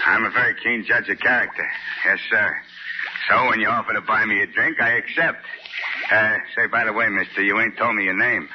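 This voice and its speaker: An actor playing a naive, chatty down-and-out drifter with folksy, ungrammatical talk, in 1940s radio style. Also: male voice, fairly low pitch, fairly even intonation, fairly quick, lightly acted. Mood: chatty, pleased. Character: chatty, naive down-and-out male drifter, eager to please. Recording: dull, noisy vintage 1940s radio recording.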